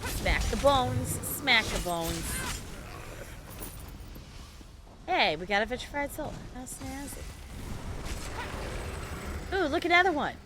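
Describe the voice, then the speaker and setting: A woman's voice: sits high, very animated, slow pace, long pauses, music in the background. A woman chatting casually on a gaming livestream, playful and self-deprecating, with theatrical exclamations. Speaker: live streamer.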